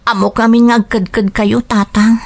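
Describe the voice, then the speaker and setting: An actress plays a young working daughter, dutiful yet determined, in a radio drama. Her voice is fairly high and carries an Ilocano Filipino accent. She speaks in an earnest, pleading way. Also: flat delivery, moderate pace.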